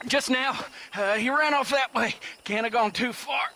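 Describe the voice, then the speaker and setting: Very high, pained, whining American westerner. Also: male voice, normal speed, sharp tone. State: pained, moaning. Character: whining, injured Old West man in pain. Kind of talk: video game dramatic acting.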